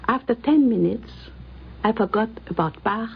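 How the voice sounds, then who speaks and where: An older woman recalls personal memories in an earnest, deliberate way, on a 1950s radio recording. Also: fairly high pitch, animated, creaky, husky, highs cut off. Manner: earnest, deliberate, measured phrasing. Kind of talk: recorded reminiscence, personal storytelling.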